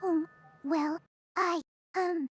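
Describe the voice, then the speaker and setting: A woman voicing a timid, gentle female cartoon pegasus with a hesitant, delicate delivery in a fan audio drama. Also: very high voice, fairly even intonation, extremely slow, soft background music, heavily acted.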